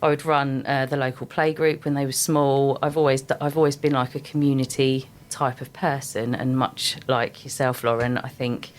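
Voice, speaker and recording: A middle-aged woman, a guest on a radio show, speaking with a voice deeper than usual because she is unwell, chatty and enthusiastic. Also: flat delivery, normal speed, hardly any pauses. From England. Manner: chatty, enthusiastic about her work. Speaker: guest, sales and marketing manager. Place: radio studio.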